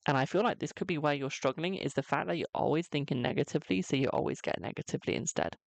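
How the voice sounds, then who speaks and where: A young man with a naturally high voice hosts a live tarot reading, chatty and cheeky, calling each viewer "my darling". His tone carries a gravelly fry at the ends of phrases. The sound is dry and close, with no room echo. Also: quick pace. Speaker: tarot reader on a livestream.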